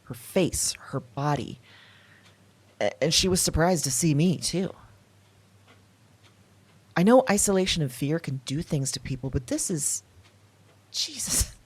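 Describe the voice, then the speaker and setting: A woman with a deep, husky voice speaks into her audio journal in a drama. She talks hesitantly and confessionally, often slipping into a whisper. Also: lively intonation, unhurried, pauses often, darkish tone. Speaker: narrator keeping an audio journal.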